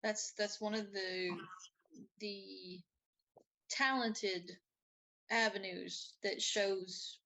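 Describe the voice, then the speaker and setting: A woman co-hosting a casual chat, speaking in halting phrases that often trail off. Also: mid-range voice, flat delivery, vocal fry, pushed and bright, low-quality recording. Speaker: co-host.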